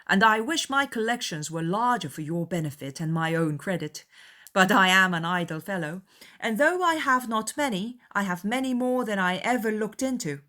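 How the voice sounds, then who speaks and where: A woman voicing a cheerful, easygoing, excitable young gentleman in a dramatized period novel. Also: medium pitch, normal speed, voice pushed up. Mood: breezy, amiable.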